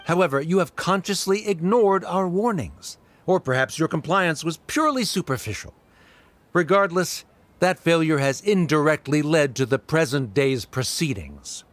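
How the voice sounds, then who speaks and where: A man's voice: fairly high pitch, expressive, moderate pace, dry recording, lightly acted. A man voicing a haughty academic official who argues with cold, formal condescension. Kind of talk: game voice acting.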